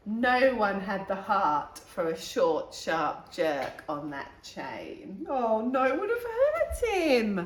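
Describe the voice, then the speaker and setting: A woman reading a children's picture book aloud in an animated way, with exclamations and asides to young listeners. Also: medium pitch, slow, few pauses, a little hiss or hum. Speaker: storytime reader. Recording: roomy, echoing room sound.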